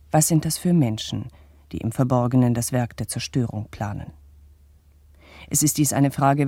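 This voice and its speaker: A pompous nineteenth-century bourgeois narrator in a radio drama. His voice is dark and mellow, recorded very dry. He addresses the public in formal, indignant, declamatory style. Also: mid-range voice, breathy edge, no echo. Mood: solemn. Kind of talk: formal old-fashioned scripted address to an audience.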